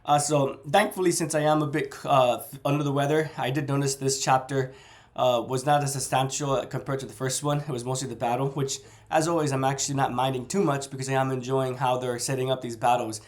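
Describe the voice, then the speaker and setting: A man's voice: mid-range voice, fairly even intonation, a little fast. A man with a cold, sounding congested and a bit nasal, casually discussing a novel chapter and often correcting himself. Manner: rambling, self-correcting. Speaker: book reviewer.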